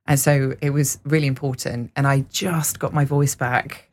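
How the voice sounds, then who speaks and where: Singer, mid-pitch, gravelly fry, rambling anecdotes, laughs often, radio interview guest. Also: flat delivery, normal speed, a little hiss or hum. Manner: rambling, warm anecdotes with frequent laughs and fillers. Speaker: singer and music teacher.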